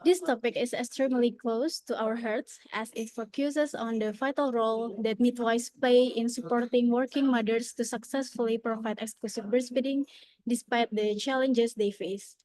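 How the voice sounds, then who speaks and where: A woman's voice: a little high, steady tone, normal speed, darkish tone, dry recording, low-quality recording. A young woman with an Indonesian accent giving an earnest conference presentation over a video call, often starting with "okay".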